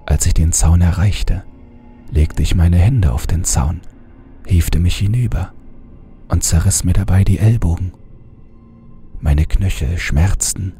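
A man reading a horror story aloud in a calm, measured first-person narration. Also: low voice, faint music behind.

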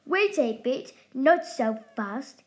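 Bossy bristling echidna, loud and emphatic, a woman voicing him in a storybook read. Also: on the high side, expressive, measured pace. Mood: indignant. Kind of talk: storybook reading.

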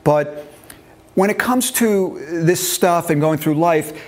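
A man's voice: a little high, slow pace, a little mellow. A man in his early sixties giving an informal classroom talk on retirement money, explaining step by step and checking that his listeners follow, on a lapel mic in a meeting room. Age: early 60s. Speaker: financial planning instructor. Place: meeting room with a seated group.

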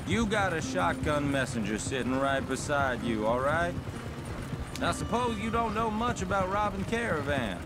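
An actor playing a talkative, self-assured American hired gun in an Old West video game, chatty, boastful and folksy. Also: male voice, on the high side, lively intonation, moderate pace, very noisy, natural voice. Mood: breezy confidence. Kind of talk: cinematic video game dialogue.

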